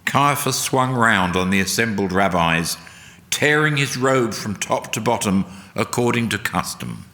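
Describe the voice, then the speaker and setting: A man reading a solemn, dramatic narration in a deliberate, emphatic oratorical way. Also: fairly low pitch, animated, pushed and bright. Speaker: reader at a church service. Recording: long hall reverb.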